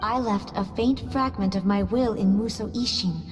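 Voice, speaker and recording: An actress voicing a gentle, wise former goddess in a game cutscene, speaking in a tender, serene, heartfelt way. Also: female voice, mid-range voice, moderate pace, lightly acted. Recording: long reverb with game music.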